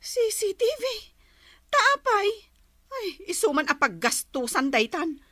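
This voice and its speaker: A high-voiced, bright and forceful nagging wife acted by a woman with an Ilocano Filipino accent, exasperated and complaining. She sounds like a comedy drama character, recorded close and dry. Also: very animated, unhurried, no echo, exaggerated voice. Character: nagging exasperated wife fretting over household spending.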